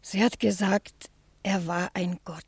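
An actress playing a timid, naive, sheltered niece who speaks shyly and hesitantly, in the style of a 1960 German radio play. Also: female voice, medium pitch, fairly even intonation, measured pace, a little mellow, no echo. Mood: naive, confiding. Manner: hesitant, breathy, shy.

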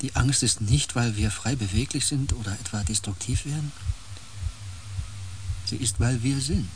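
A man acting as a tormented empath in a science fiction radio play. He is intense and halting, with a mid-pitched voice that can rise in agitation or sink into awe. Faint noise and ambience sit behind him. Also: lightly acted. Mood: hushed awe. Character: tormented, over-sensitive empath on a space expedition, brooding. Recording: noisy with ambient sound.

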